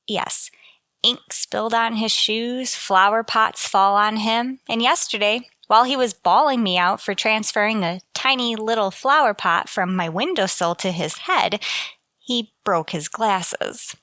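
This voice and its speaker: An actress playing a wry, unlucky high school teacher in a scripted radio sitcom, with dry, self-deprecating wisecracks. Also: female voice, a little slow, pushed and bright, no room sound. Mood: dry, exasperated. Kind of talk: scripted radio sitcom acting with first-person narration.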